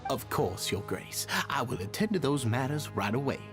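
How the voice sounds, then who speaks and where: A man's voice: mid-range voice, normal speed, natural voice. An actor playing an earnest, deferential male society leader in a video game, speaking politely and eagerly. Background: faint music.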